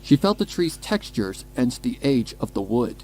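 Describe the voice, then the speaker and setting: A man narrating a dramatic scripted story in a measured, suspenseful manner. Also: mid-range voice, sing-song, darkish tone, a little hiss or hum. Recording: music behind the voice. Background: music.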